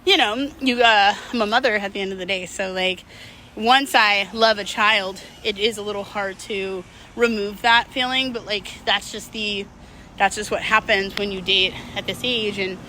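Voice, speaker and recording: A woman's voice: mid-range voice, fairly even intonation, normal speed, pushed and bright, a little hiss or hum, low-quality recording. A middle-aged woman talking casually and unscripted to her viewers, candid and slangy, rambling and drifting mid-thought. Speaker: vlog host.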